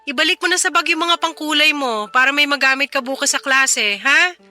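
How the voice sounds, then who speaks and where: A weary, overworked mother with a high, very bright, forceful voice. She scolds impatiently yet cares, in an emotive radio drama manner over faint music. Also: fairly quick. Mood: weary, brisk. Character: tired, overworked single mother, impatient but caring. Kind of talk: Tagalog radio drama acting.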